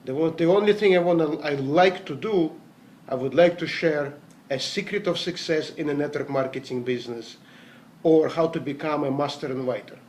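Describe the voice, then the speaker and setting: A man's voice: on the high side, normal speed, darkish tone, slight background noise. A man, giving an earnest, rambling motivational talk about network marketing success, often correcting himself mid-sentence. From Latvia. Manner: earnest, rambling, self-correcting, non-native grammar. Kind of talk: motivational talk with personal stories.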